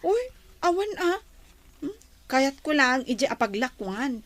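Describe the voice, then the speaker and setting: An actress playing a chatty, defensive young village vendor in an Ilocano radio drama, with an Ilocano accent. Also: female voice, high voice, sing-song, unhurried, a bit bright, heavily acted. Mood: defensive banter. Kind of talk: Filipino radio drama acting.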